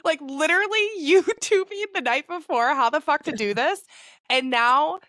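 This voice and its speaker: Excitable, foul-mouthed woman podcast host. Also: sits high, moderate pace, no echo, studio quality. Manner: profane, excitable, emphatic. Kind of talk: casual podcast chat.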